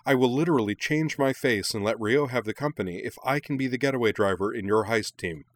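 An exuberant young woman racer from an audiobook, as performed by a male narrator. The voice sits well above a man's usual talk and gushes with playful, theatrical excitement. The sound is dry and close. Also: medium pitch, normal speed, no echo, voice pushed up. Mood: gleeful excitement.

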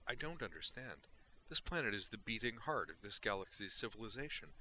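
A man voicing a curious, precise young woman in an audiobook, asking measured questions softly. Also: lowish voice, very animated, slight background noise, voice pushed up, full character voice, rough recording. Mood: puzzled.

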